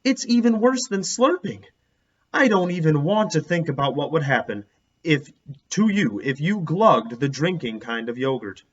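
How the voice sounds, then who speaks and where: A man voicing a fussy, bossy schoolgirl who worries about germs, with emphatic drawn-out words in a storybook reading. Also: fairly high pitch, sing-song. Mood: prim, alarmed. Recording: dull, lo-fi sound.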